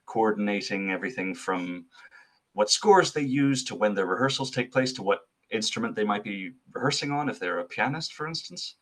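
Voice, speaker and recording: A man's voice: on the low side, fairly bright tone. A young man from Switzerland, answering interview questions as a guest in an articulate, thoughtful way with some fillers and restarts. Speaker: associate artist manager, guest.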